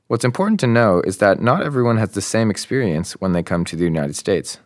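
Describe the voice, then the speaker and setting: A young man co-hosting a student podcast, reading his introduction and narration from a script in an even way. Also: on the low side, brisk, dry recording, clean studio sound.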